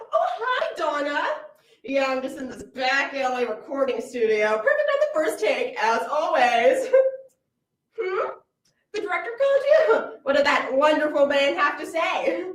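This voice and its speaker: A woman playing a self-important female cartoon voice actress in a comic stage monologue, with theatrical swings in pitch and loudness. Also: big pitch swings, unhurried, long pauses. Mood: diva. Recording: roomy, from a distant mic.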